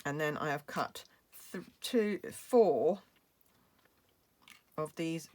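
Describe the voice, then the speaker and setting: A British woman demonstrating a craft project, chatty and explaining each step with fillers, her voice going hoarse. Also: low-pitched, very slow, a little mellow, a little hiss or hum. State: losing her voice, coughing. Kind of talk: crafting tutorial.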